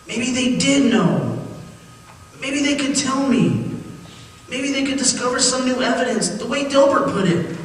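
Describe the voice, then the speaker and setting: A man's voice: very high voice, a bit bright, a little hiss or hum, full character voice. A man acting a desperate, haunted condemned prisoner in a radio play, with a pleading, dramatic delivery. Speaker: actor and narrator in a radio play. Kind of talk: old-time radio theatre acting, first-person narration. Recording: distant echoey hall, long reverb, faint music.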